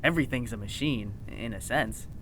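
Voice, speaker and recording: A woman's voice: rumbling low, normal speed, a little hiss or hum. A woman playing an evasive, self-important scientist with a dry, haughty manner in a fiction podcast. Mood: lofty, evasive. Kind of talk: audio fiction podcast acting.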